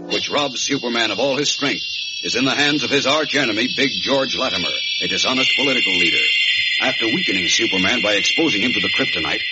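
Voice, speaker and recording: An American man delivering dramatic, emphatic scripted narration as a 1940s radio announcer. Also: mid-range voice. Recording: muffled old radio, loud music.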